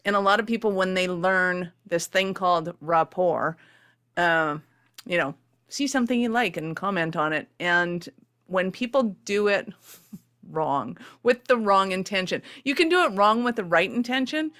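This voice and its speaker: A woman's voice: on the low side, animated, unhurried, fairly bright tone. A woman hosting a business podcast, enthusiastic and bubbly as she chats with her guest. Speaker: podcast host. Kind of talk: upbeat interview chat.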